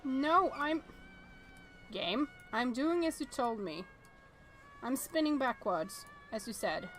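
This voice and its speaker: A woman with a fairly high voice plays a visual novel. She reads the text aloud and reacts to it in a casual, chatty way. Game music plays softly behind her. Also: lively intonation, slow. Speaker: let's-play streamer.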